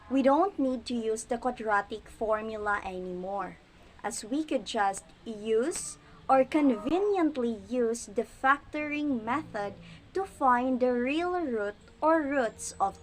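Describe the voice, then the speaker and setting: A woman's voice: fairly high pitch, a little hiss or hum. A young woman presenting a math lesson as a student reporter, explaining each step carefully. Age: young adult.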